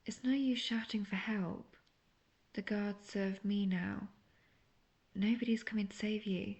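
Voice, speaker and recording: A woman playing a manipulative, seductive imprisoned witch in a fantasy audio roleplay, speaking intimately in a breathy near-whisper. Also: mid-range voice, fairly even intonation, a little slow, darkish tone. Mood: smug, taunting.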